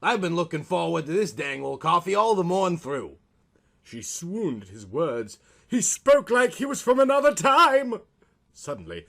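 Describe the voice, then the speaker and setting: A man reads a comic story as a dramatic narrator. His pitch moves in lively swoops and his tone is bright and forceful, delivered with theatrical, mock-romantic flourish.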